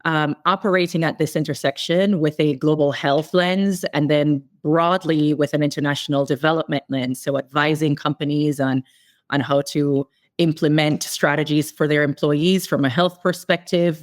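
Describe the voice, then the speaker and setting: Deep-voiced woman from Jamaica. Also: no echo, studio quality. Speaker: guest, managing director. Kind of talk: podcast interview.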